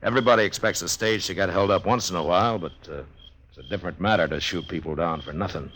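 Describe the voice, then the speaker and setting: A deep-voiced American lawman of the Old West, steady and probing. He speaks in a measured, understated way with thoughtful pauses, in a vintage 1950s radio western. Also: slight background noise. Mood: probing, grave. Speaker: radio drama lead actor.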